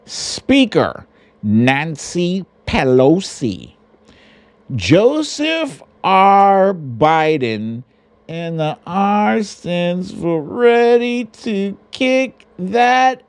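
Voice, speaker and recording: A man hosts a livestream storytime in a very animated voice that swings widely in pitch. He takes his time, stretching out phrases in a mocking storybook manner, then slips into casual chat with his viewers. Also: very slow, clear, resonant tone. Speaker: host of a live online storytime show.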